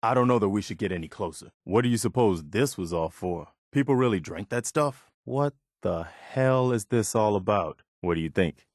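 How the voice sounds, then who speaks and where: A man acts out an earnest militia officer for a video game. His voice sits fairly low and is recorded dry and close. He speaks sincerely and plainly, as a loyal, principled companion with firm morals. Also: lively intonation, normal speed, few pauses, dry recording, close to an everyday voice. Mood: curious, bemused.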